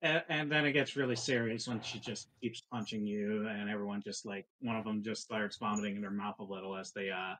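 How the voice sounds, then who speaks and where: A man's voice: fairly low pitch, steady tone, a little fast, hardly any pauses, a bit bright, slight background noise, rough recording. A man playing a tabletop game, describing actions in a casual, rambling way.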